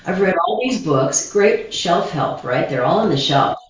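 A woman guest speaker explaining meditations calmly, in a slow, gentle, reflective way. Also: fairly low pitch. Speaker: guest speaker, shamanism teacher. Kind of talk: calm guided explanation. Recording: distant echoey dull video call audio.

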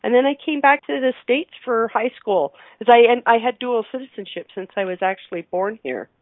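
A woman's voice: fairly high pitch, fairly quick, no room sound. Chatty, playful woman on a phone-like line. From Alberta, Canada. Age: middle-aged. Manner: effusive, playful, laughs often while talking. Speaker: interview guest, radio show host. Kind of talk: casual chatty interview.

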